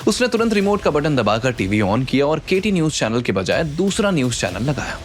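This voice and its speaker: A man's voice: on the high side, sing-song, a little fast, a little hiss or hum. A man narrating a scripted drama story with suspenseful, emphatic delivery. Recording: background music under the voice.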